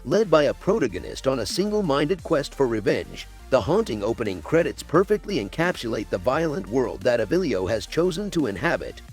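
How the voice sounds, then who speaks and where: A man's voice: mid-range voice, big pitch swings, a little fast, hardly any pauses, a little mellow, faint music behind. A man hosting and narrating a scripted countdown video.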